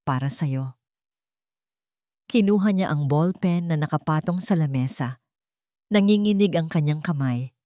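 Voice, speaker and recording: Casting: female narrator for dramatic love stories, slightly low pitch, soft dark tone, scripted read. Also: normal speed, no room sound, clean studio sound. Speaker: story narrator.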